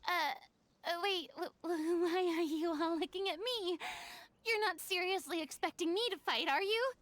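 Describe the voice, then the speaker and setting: A woman's voice: extremely high pitch, moderate pace, fairly bright tone, a little hiss or hum. A woman voicing a theatrical young woman in a game, with a showy, dramatic way of talking. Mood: flustered.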